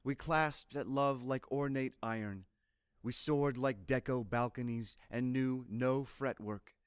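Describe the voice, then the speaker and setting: A man gives a measured poetry recitation at a very unhurried pace. His voice is mid-pitched and resonant, recorded very dry and close. Each phrase is placed with deliberate care. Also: smooth, no echo. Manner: measured, deliberate, literary delivery. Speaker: poetry reader.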